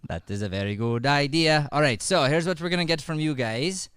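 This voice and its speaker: A man's voice: on the high side, fairly quick, a bit bright, clean tone, no echo, clean studio sound. A man casually hosting a live improv show into a podcast microphone.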